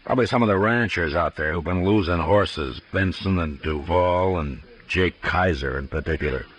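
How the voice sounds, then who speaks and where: An actor playing a troubled, plain-spoken Old West marshal in a 1950s radio western, terse and hesitant in manner. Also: male voice, deep voice, moderate pace, fairly bright tone, breathy edge. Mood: brooding. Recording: noisy 1950s radio recording.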